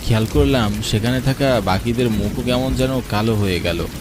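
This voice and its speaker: A man's voice: mid-range voice, normal speed. Man narrating a story over noisy rain. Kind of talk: first-person scripted story narration. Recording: clearly noisy, rain and thunder behind. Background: rain and thunder sound effects.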